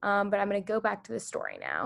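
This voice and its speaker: A woman hosting a read-aloud for children over a video call, reading the story and asking them gentle questions. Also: medium pitch, wildly swooping pitch, quick pace, creaky. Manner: gentle, encouraging, with small restarts.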